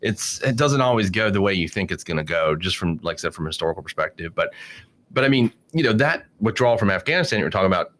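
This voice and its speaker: A man's voice: low voice, expressive, fast, a bit bright. A man in his forties talking politics in a casual podcast chat, rambling with many restarts and fillers. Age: 40s. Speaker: podcast guest.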